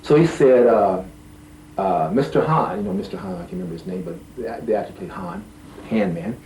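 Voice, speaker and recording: Lively man telling a story in a roomy space. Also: fairly low pitch, brisk, a little hiss or hum. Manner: lively, acts out the fight scene. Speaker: interviewee, actor. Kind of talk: animated anecdote. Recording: roomy echo.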